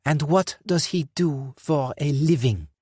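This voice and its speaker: Man doing a sneering crime boss, high voice, slow deliberate questions, mocking, dry close sound. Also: warm tone, slightly rough, dry recording. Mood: shouting, scornful. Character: sneering, menacing male crime boss, cold and sarcastic.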